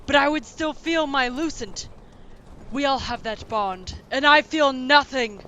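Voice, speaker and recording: A woman's voice: sits high, unhurried, sharp tone, dull audio. A woman playing a hot-tempered, wounded warrior sister in a fantasy audio drama, intense, indignant and formal. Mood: bitter, distressed.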